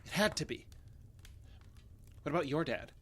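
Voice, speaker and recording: An actress playing a guarded, evasive wounded character who hides the truth with hesitant speech in an audio drama. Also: female voice, sits low, lively intonation, normal speed, a bit bright. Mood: guarded, earnest. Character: guarded, evasive wounded alien passing as human. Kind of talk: naturalistic audio drama acting.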